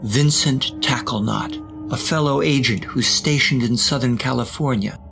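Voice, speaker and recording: A man narrating a scripted audio drama with deliberate, suspenseful storytelling and wry touches. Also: medium pitch, vocal fry, fairly bright tone, soft background music.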